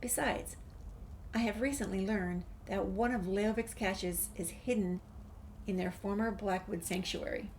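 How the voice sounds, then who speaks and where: A woman with a creaky voice, reading a game's documents and dialogue aloud as a gameplay streamer. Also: mid-range voice, unhurried, a little mellow, rough recording. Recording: faint background noise, game ambience.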